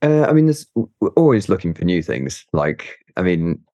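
A man's voice: sits low, sing-song, moderate pace, mellow, no echo, dull audio, soft background music. A man being interviewed as a guest, chatty and hesitant, with lots of fillers and easy laughs. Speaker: guest climber. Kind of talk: casual interview chat.

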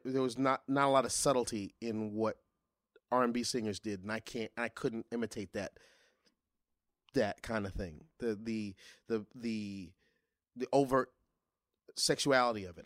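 A middle-aged man, a singer, talking casually in an interview, often restarting his sentences and growing animated when he recalls singing. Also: medium pitch, dry recording, clean studio sound. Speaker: guest singer.

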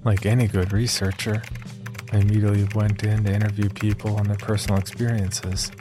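A man performing scripted first-person horror narration as an uneasy young man, in a measured, confiding way with deliberate pauses. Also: lowish voice, little pitch movement, natural voice. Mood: wary, confiding. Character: uneasy young man recounting his sleep disorder. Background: background music.

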